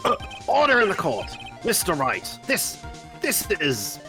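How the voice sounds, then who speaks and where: A man's voice: sits high, huge pitch swings, measured pace, vocal fry, heavily acted. A man voicing a pompous, befuddled old judge in a live fan dub of a courtroom video game, bumbling but solemn. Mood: startled, confused. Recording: music behind the voice. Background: game music.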